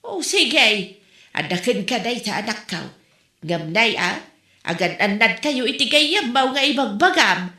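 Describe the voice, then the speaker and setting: A woman acting lines in a comedic audio drama, in an animated, teasing manner. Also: on the high side, unhurried, reverberant.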